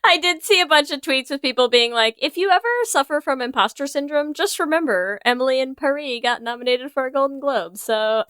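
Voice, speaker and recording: High, bright-voiced woman, wry podcast co-host. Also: a little fast, no room sound, clean studio sound. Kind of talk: casual podcast banter.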